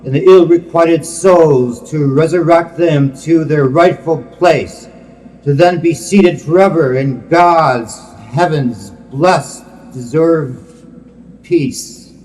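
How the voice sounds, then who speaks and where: A man giving a solemn, reverent religious poetry reading in a measured, declamatory manner. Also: a little high, a little mellow. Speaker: poet reading own work. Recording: long hall-like reverb.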